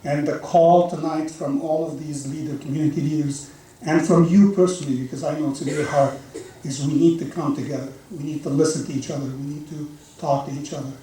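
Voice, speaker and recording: A man's voice: a little high, fairly even intonation, a little fast, far from the mic, slight background noise. A man from Lebanon, a board chairman, telling an earnest story in a speech at a community dinner. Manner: storytelling, earnest, warm-hearted. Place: large banquet hall. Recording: echoey hall, mic heard from afar.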